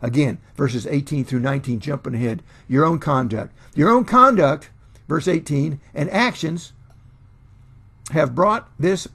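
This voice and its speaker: An older man, a pastor in his sixties or older, preaching a sermon with emphatic, deliberate pauses and repeated phrases in a gravelly, husky voice. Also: a little high, a bit bright. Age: 60s or older. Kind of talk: sermon, expounding scripture to camera. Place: small furnished room at home, indoors.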